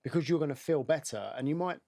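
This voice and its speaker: A man interviewing a guest in a casual chat, agreeing often and thinking out loud. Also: a little high, fast talker, gravelly. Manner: affirming, lots of "yeah".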